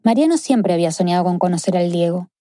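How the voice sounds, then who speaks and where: A woman's voice: lowish voice, animated, dark tone, no room sound, clean studio sound. Woman narrating a radio documentary. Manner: measured, descriptive storytelling. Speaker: reporter and narrator. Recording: clear music bed underneath.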